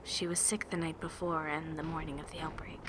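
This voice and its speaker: A woman playing a stoic, sincere, protective young woman in a fan audio drama, speaking softly and with reserve. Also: low-pitched, flat delivery. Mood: worried, defensive. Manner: soft, measured, reserved. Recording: clearly noisy amateur voice track.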